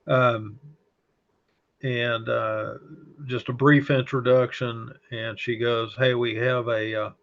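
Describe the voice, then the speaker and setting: A middle-aged man with a slight Texas accent tells his story slowly, stopping often to gather his thoughts. His voice has a rough, gravelly texture. The recording sounds muffled and lo-fi. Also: mid-range voice, flat delivery, fairly bright tone. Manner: unhurried, halting, with many pauses and fillers. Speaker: fire service chaplain and paramedic. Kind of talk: recounting memories in an interview. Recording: dull lo-fi audio.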